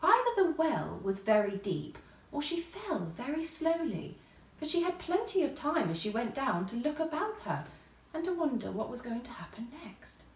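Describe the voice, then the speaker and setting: A woman narrates a children's storybook in a fairly high, highly varied voice. Her delivery is theatrical and deliberate. The room echoes around her. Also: sing-song. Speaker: storybook reader. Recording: roomy echo, distant microphone.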